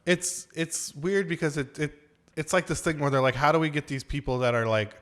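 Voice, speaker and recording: A man's voice: fairly high pitch, fairly even intonation, a little fast, a bit bright. Casual man chatting about movies. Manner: informal slangy talk, frequent "like". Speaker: podcast co-host. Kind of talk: casual movie review chat.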